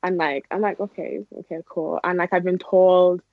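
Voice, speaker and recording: A woman's voice: on the low side, steady tone, moderate pace, dry recording. Young adult Irish woman, informal interviewee, casual speech full of "like", dry and slightly muffled lo-fi sound. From Ireland. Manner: informal, frequent "like". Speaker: interview guest, athlete. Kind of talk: casual conversational interview answers. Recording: dull, lo-fi audio.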